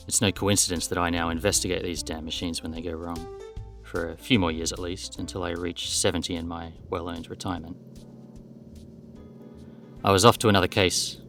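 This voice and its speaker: An actor playing a world-weary, dryly cynical detective nearing seventy in a radio play, with a wry, deadpan delivery. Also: male voice, low voice, moderate pace, creaky, soft background music.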